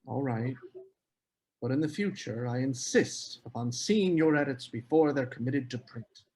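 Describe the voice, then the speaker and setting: A man's voice: mid-range voice, unhurried, darkish tone, close to an everyday voice. A man playing a proud, earnest writer in a period literary drama table read, speaking in formal phrases. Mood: subdued. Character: Geoffrey Aspen, proud, earnest male writer.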